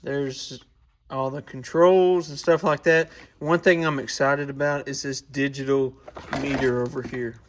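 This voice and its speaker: A man with a medium-pitched voice talks through a product review. His delivery is informal and off the cuff. He thinks aloud as he goes, like a host showing something on his bench. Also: steady tone, measured pace, a little hiss or hum. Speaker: host of a radio shop's review channel. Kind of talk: casual hands-on product review.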